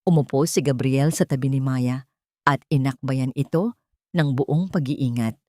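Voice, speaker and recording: A woman's voice: very deep voice, unhurried, a little mellow, no room sound, clean studio sound. A woman with a Filipino accent narrating a scripted, dramatic audio story.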